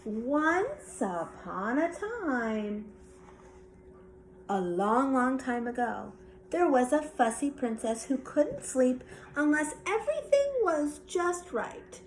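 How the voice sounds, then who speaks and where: A woman reading a children's bedtime story aloud with expressive narration. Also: high voice, slow pace, poor audio. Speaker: storybook reader.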